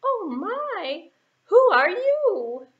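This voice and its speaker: A woman's voice: very high voice, very animated, drawn-out words, dull audio, pitched above the performer's own voice, exaggerated voice. A woman voicing a sweet, meek, wistful young servant girl in a puppet show for children. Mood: amazed.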